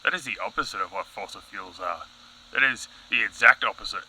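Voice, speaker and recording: A man's voice: fairly low pitch, a little fast, pushed and bright, slight background noise, poor audio. Man playing a tense, exasperated professor. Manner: emphatic, precise, exasperated. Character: exasperated male professor, rational and pedantic expert. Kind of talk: satirical news audio comedy acting.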